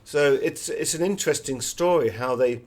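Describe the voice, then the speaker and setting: A man's voice: fairly high pitch, moderate pace, a little mellow. A man speaking as a guest author in an interview, explaining things in a discursive, anecdotal way. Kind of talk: conversational interview, telling anecdotes.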